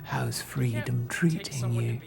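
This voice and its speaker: A man voicing a wry, worried male animal friend with a gravelly voice in an audio drama. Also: mid-range voice, steady tone, slow, music in the background. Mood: wry concern.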